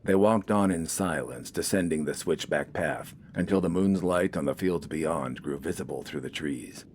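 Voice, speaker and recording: Very deep-voiced male story narrator. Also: normal speed, a little mellow, slight background noise. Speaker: audiobook narrator. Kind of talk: scripted fiction narration.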